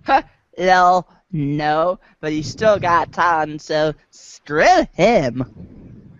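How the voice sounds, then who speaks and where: Man putting on a drawling, animated valley-girl teen boy. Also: on the high side, drawn-out words, dry recording, dull audio, exaggerated voice. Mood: flippant. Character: sassy, slangy teenage boy played as a valley girl. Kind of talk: amateur reader's theater of a webcomic.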